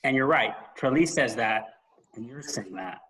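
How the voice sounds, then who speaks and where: Emphatic male interview host, medium pitch. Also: lively intonation, a bit bright, low-quality recording. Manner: emphatic, deliberate pauses for effect. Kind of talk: conversational interview.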